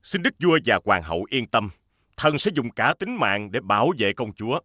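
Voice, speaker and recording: Loyal, expressive cartoon royal officer. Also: male voice, mid-range voice, normal speed, no room sound. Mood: devoted. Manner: formal, deferential. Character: loyal, earnest royal officer serving the king. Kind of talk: animated fairy-tale dub.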